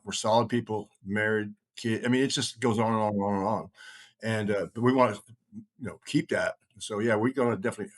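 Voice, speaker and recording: Middle-aged man, lowish voice, conversational podcast talk; wanders through his points and repeats words to press them home. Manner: rambling, emphatic, repeats phrases for stress.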